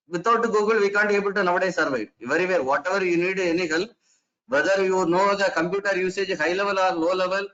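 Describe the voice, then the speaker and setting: A man lectures in a fairly high voice, working through each point step by step and often restarting his sentences. He poses rhetorical questions to his listeners. The audio is dull and lo-fi, like a recorded online session. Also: a bit bright. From India. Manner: explanatory, repeats and restarts phrases, asks rhetorical questions.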